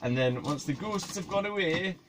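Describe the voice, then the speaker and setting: A man's voice: high voice, animated, moderate pace, background noise. A man, a musician rambling through a comic monologue to camera, swearing and laughing at his own jokes. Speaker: musician and video maker.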